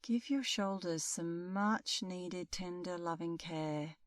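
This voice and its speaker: A middle-aged woman guides a meditation in a calm, soothing manner. Her voice sits a little low and she speaks very slowly, leaving long pauses. The recording is very dry, with no room echo. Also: far from the mic. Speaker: meditation instructor.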